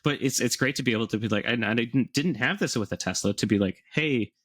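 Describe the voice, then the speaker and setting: A man's voice: mid-range voice, quick pace, fairly bright tone. A man co-hosting a podcast, explaining things in casual conversation. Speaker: podcast co-host.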